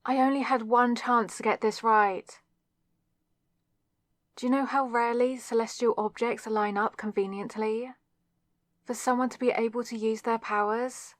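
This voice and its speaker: Woman acting slow-talking, lovestruck young witch. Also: on the high side, no echo, clean studio sound, lightly acted. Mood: annoyed, indignant. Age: young adult. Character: nervous lovestruck young student witch, secretly crushing on classmate. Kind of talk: ASMR audio roleplay, scripted solo monologue.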